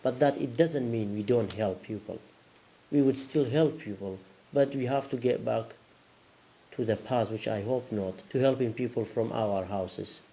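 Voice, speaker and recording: A man whose first language is Kurdish, a community group leader, speaking earnestly in halting English in an interview. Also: medium pitch, gravelly, mellow, low-quality recording. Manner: earnest, halting non-native phrasing.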